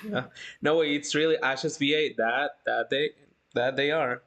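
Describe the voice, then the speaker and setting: Giggly, halting livestream host chatting casually. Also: mid-range voice, a bit bright, muffled recording. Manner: giggly, halting, breaks into laughter often. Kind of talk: casual chat, reading out live chat.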